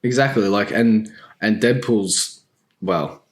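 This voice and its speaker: A man with a fairly low voice talks casually with friends on a panel. He rambles along in slang and gives his opinions bluntly. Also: slow pace. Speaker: podcast panelist. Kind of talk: casual group chat.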